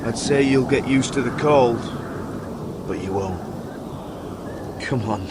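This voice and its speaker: An actor playing a seasoned, brotherly ranger in a dramatic video game, speaking frankly like a mentor. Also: male voice, mid-range voice, slow, heavy background noise, close to an everyday voice. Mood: earnest, mentoring. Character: seasoned, brotherly ranger of a frozen northern watch.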